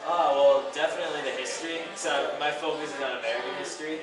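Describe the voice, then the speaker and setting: A young man in his twenties, a college student from Michigan, answering interview questions in a relaxed, thoughtful way. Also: on the high side, fast, over music. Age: 20s. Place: coffee shop. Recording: echoey room, mic far off, crowd around.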